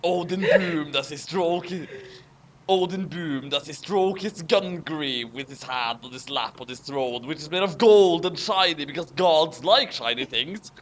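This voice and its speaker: A man's voice: a little high, measured pace. A man co-hosting a dramatic fanfic reading, theatrical and over-acted, with casual chat in between.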